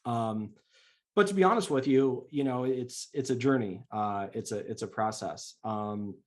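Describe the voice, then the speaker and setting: A man's voice: medium pitch, a little slow. A man giving an informal talk as a guest speaker, hesitant, with many restarts and fillers. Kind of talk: informal presentation. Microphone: video call.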